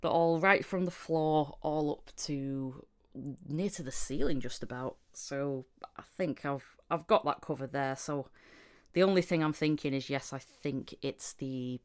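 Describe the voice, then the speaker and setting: Woman, low voice, podcast host, casual chat, anecdotal storyteller. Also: unhurried, clean tone. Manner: anecdotal, acting out reported conversations.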